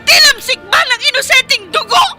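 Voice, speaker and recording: A tearful young woman, impassioned, very high voice, loud music behind. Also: lively intonation, a little fast. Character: young woman pleading against violence. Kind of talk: radio drama acting. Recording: radio drama over loud music.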